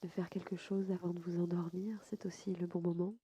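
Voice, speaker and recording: A woman softly guiding a sleep meditation as a yoga nidra host, unhurried and soothing, with a breathy voice that sometimes nears a whisper. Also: fairly low pitch, level tone, lo-fi sound, a little hiss or hum. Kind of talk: guided sleep meditation narration.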